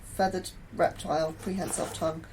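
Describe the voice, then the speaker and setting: A woman's voice: on the low side, little pitch movement, a little fast, a little mellow, slight background noise, lightly acted, rough recording. Anxious young-sounding scientist's diary, acted by a woman. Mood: troubled. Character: anxious conscientious female astrobiologist keeping a diary. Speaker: narrator. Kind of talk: audio drama diary narration.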